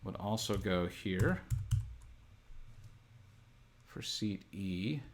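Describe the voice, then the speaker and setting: A middle-aged man giving an unscripted tutorial, thinking aloud in a halting, methodical way as he talks through each step. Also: low-pitched, lively intonation, slight background noise. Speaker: instructor. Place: indoor room at a desk, computer screen recording.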